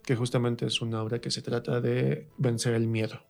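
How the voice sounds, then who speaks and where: A man with a central Mexican accent and a mid-range voice speaks as a guest in a relaxed, conversational interview. His manner is animated, and he often repeats phrases to press a point. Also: mid-range voice, moderate pace, gravelly. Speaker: radio guest, actor and theatre teacher.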